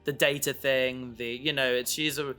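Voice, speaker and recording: A man chatting casually as a podcast co-host, halting and often interrupting himself as he rambles. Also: mid-range voice, flat delivery, sharp tone, clean tone, soft background music. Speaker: podcast co-host and audio drama creator.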